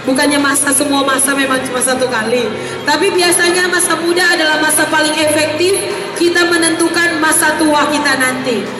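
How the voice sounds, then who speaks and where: A woman's voice: sits high, fairly even intonation, fairly quick. A woman speaking as a guest team leader on stage, giving an earnest, persuasive motivational talk with emphatic repetition. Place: stage in a shopping mall expo hall. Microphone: handheld mic through PA, distant camera. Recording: echoey hall PA from far off, music.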